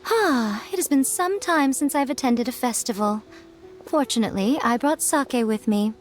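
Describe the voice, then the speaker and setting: An ancient fox spirit lady for an anime game, played by a woman. She is sly and playful with a fairly high, lively pitch. She speaks in a measured, teasing way, like a patient philosopher. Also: music in the background, close to an everyday voice.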